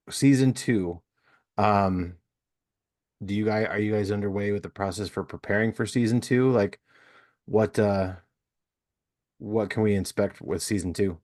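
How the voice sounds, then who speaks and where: A man's voice: lowish voice, slow pace, long pauses, darkish tone. A man hosting a casual podcast interview, rambling and searching for words with lots of fillers. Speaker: podcast host.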